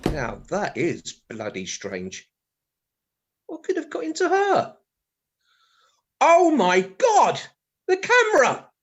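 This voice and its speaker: An actor with a British accent playing a wry, grumbling husband with a husky voice in a radio comedy. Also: male voice, extremely high pitch, wildly swooping pitch, takes it slowly, pauses often, dull audio, full character voice. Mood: startled, envious. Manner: sardonic, grumbling, exclamatory. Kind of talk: radio play comedy acting, muttered monologue.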